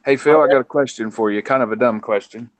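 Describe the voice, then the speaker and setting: A man's voice: medium pitch, fast talker. A man with a Southern accent hosting a casual late-night chat, telling rambling folksy stories with crude asides. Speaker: host.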